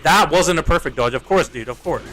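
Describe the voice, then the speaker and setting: Exasperated young Australian gaming streamer. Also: male voice, a little high, animated, a little fast, a bit bright. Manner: rambling, exasperated, swears, repeats himself. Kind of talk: casual livestream chat while playing.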